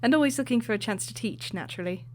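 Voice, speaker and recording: A woman voicing a female artifact historian and author who explains things in a measured, lecturing way. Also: a little high, animated, a little mellow, slight background noise, natural voice. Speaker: expert guest. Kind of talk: audio drama acting.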